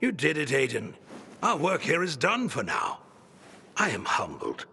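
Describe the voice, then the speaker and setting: A man's voice: fairly high pitch, huge pitch swings, unhurried, gravelly, bright, forceful tone, long reverb tail, a little hiss or hum, exaggerated voice. An actor playing a grandiose, theatrical old male scholar who speaks in pompous, flowery phrases. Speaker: book-collecting patron.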